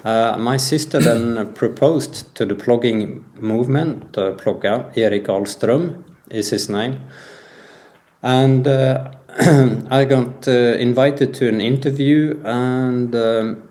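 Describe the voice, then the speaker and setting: A man giving a personal account as an interview guest, speaking with halting, hesitant phrasing. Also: medium pitch, warm tone. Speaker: podcast guest.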